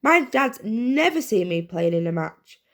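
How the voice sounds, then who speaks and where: A woman's voice: mid-range voice, big pitch swings, unhurried, pitched above the performer's own voice. A woman voicing a polite, apologetic schoolboy in a children's story, speaking mildly. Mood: mild, agreeable. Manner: apologetic, mild.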